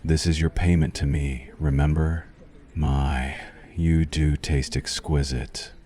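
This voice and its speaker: A man's voice: rumbling low, steady tone, takes it slowly, dark tone. A man voicing a suave, possessive vampire doctor in an ASMR roleplay, hungry yet courtly, speaking in an intimate, menacingly soothing manner. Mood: savoring, seductive.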